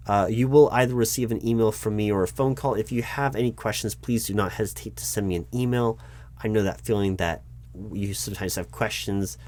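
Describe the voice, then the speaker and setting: A man doing a roleplay as a job interviewer, talking in a deliberate, dry way with frequent ums. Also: lowish voice, steady tone, a little mellow, a little hiss or hum. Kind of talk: scripted ASMR roleplay.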